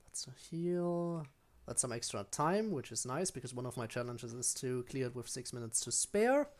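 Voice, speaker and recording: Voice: medium pitch, very animated, normal speed. A game streamer giving casual live gameplay commentary, talking half to themselves and half to the enemies on screen.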